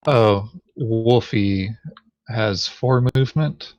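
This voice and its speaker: A man's voice: on the low side, drawn-out words, darkish tone, no echo. A man chatting casually over a board game in brief, matter-of-fact remarks. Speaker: board game player on a playthrough show. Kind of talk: casual tabletop game chat.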